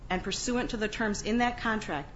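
A woman speaking as a lawyer, giving a formal, firm and measured argument to an appeals court. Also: mid-range voice. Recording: muffled courtroom recording, faint background noise.

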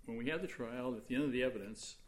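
A soft-spoken man arguing an appeal before judges in a large courtroom, halting and full of fillers as he speaks into a fixed recording mic. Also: mid-range voice, slight background noise. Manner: halting, with many fillers and restarts. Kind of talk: appellate oral argument.

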